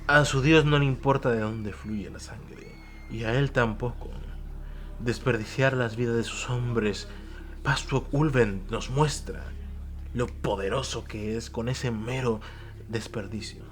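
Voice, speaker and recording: A man's voice: medium pitch, measured pace, a little hiss or hum, close to an everyday voice. A man voicing an eerie, zealous newborn creature of stitched skin, speaking in an emphatic, ominous manner in an audio drama. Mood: grim, knowing.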